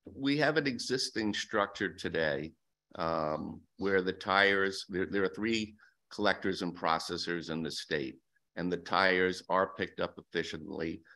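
A man's voice: low-pitched, unhurried, pushed and bright. A man in his sixties testifying at a legislative hearing for a business group, hesitant and a little defensive, speaking into a desk microphone in a hearing room. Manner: hesitant, many fillers and restarts, defensive.

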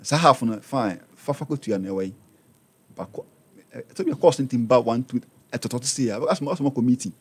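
Ghanaian guy in his 40s, husky voice, lively storytelling in a radio studio. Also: medium pitch, fairly quick. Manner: animated storyteller, mixes Twi and English. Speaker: interview guest, business owner. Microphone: studio mic on boom arm.

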